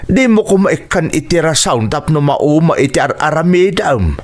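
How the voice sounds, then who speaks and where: A man's voice: on the high side, animated, normal speed. Earnest Ilocano family man. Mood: tense, confrontational. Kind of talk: Filipino radio drama acting.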